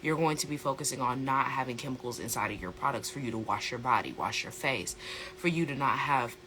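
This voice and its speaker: An American speaker with a slightly hoarse voice, chatting casually and emphatically as a host explaining things in an educational vlog, repeating phrases for stress. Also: mid-range voice, fairly quick, bright, forceful tone, a little hiss or hum. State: slightly hoarse from a sore throat. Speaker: host, plant-based lifestyle coach.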